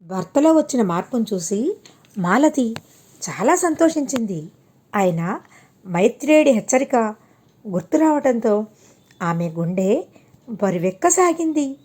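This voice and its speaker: A woman's voice: fairly high pitch, big pitch swings, a little slow, pauses often, mellow. A woman narrating a scripted moral folk tale as a storyteller for an audiobook. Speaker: storyteller and channel host.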